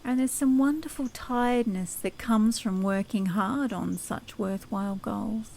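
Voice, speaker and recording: Slow-paced woman guiding sleep hypnosis. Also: fairly high pitch, vocal fry, a little mellow, a little hiss or hum. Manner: soothing, unhurried, measured with long phrases.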